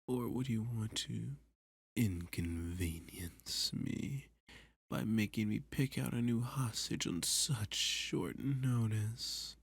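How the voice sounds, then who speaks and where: A man playing a dominant, flirty villain who taunts a hostage in an intimate ASMR roleplay, often dropping to a breathy, teasing whisper. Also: lowish voice, dry recording, full character voice. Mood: threatening, dominant. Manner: slow, teasing, sadistic, possessive.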